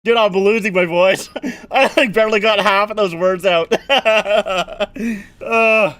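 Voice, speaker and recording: Male streamer, high bright voice, quick hockey play-by-play with casual asides, dry close sound. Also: dry recording. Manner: rapid running play-by-play, swears, chats with viewers. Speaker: streamer hosting a hockey watch-along. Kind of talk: live play-by-play commentary.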